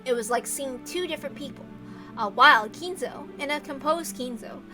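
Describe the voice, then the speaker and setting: High-voiced woman reading with blunt asides. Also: moderate pace, music in the background. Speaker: visual novel reader and narrator. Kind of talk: expressive reading with casual commentary.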